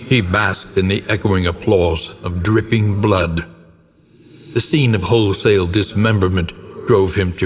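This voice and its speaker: A man playing a grave, ominous older narrator of gothic horror tales in a video game, with solemn, theatrical and deliberate storytelling. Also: sits low, breathy edge, long reverb tail, heavily acted.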